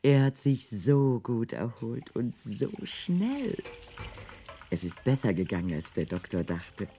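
Fussy housewife, voice swinging widely. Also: female voice, extremely low pitch, big pitch swings, takes it slowly, warm tone, a little hiss or hum, full character voice. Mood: muttering, busy. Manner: repetitive, fussy, muttering to herself, then sharply dismissive. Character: harried, stubborn housewife nursing a sick man. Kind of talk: German radio drama acting.

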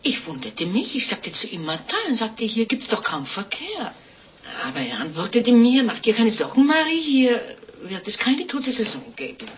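An actress playing a sly, insistent innkeeper's wife in a radio play, speaking in a dry, probing way. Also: female voice, on the high side, wildly swooping pitch, moderate pace. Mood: confessing, insistent. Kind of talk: 1950s German radio play acting. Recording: muffled old radio recording, faint noise.

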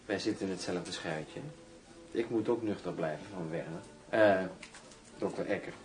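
An actor playing a cool, sardonic undercover bodyguard in a radio drama, dry and clipped. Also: male voice, sits low, moderate pace, roomy sound, far from the mic, soft background music, close to an everyday voice, poor audio. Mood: casual.

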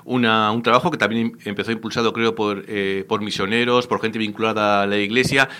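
A man's voice: on the low side, level tone, brisk, sharp tone. A man hosting a radio interview, speaking with frequent hesitations and fillers. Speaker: radio show host.

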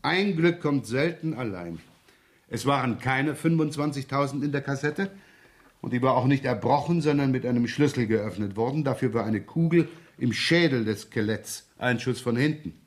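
An actor playing a middle-aged police inspector in a radio crime drama, weary, gruff and dryly sardonic. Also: male voice, medium pitch, moderate pace, in a large hall, close to an everyday voice. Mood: grumbling, sardonic. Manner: dry, grumbling, wry. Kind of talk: 1970s German radio crime drama acting.

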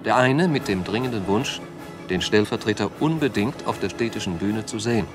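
A man's voice: lowish voice, fairly quick, over music, natural voice. A man reading scripted documentary narration.

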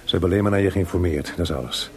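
A man playing Haydn, a calm and kindly senior composer with a measured, reassuring manner, in a Dutch radio play. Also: sits low, lightly acted.

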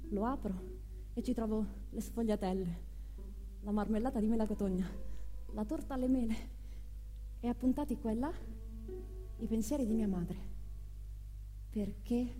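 A woman's voice: on the high side, a little slow, long pauses, warm tone, faint music behind, natural voice. An actress playing a spirited young single mother in a theatrical monologue, emphatic and given to repeating phrases. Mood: wistful. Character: spirited young single mother telling her life story. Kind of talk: theatrical first-person monologue with acted dialogue.

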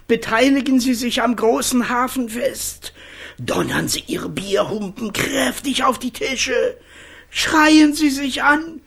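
A small, eager festival director from a children's radio play, earnest and commanding. The husky voice moves up and down in lively swings and takes its time, in a very dry, close recording. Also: medium pitch, takes it slowly, no echo, exaggerated voice. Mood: urgent, rousing.

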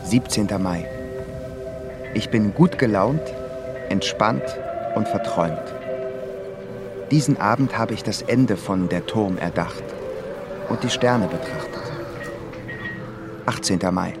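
A reflective, wry composer narrating a travel diary in a radio play. The voice is fairly low, set over prominent music. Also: male voice, slow pace, long pauses. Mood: dreamy, elated. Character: wry male émigré composer reading his travel diary.

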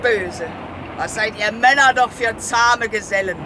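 A woman's voice: fairly high pitch, a little slow, background noise, natural voice. An actress playing a fierce, scornful woman sea captain in a satirical radio play, grand and commanding in manner. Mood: grim, imperious.

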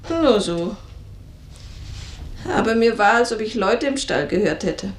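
A woman's voice: medium pitch, expressive, a little slow, close to an everyday voice. A woman acting a secretive, bitter adult daughter in a radio drama, evasive and sardonic. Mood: evasive, subdued. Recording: roomy echo with some background noise.

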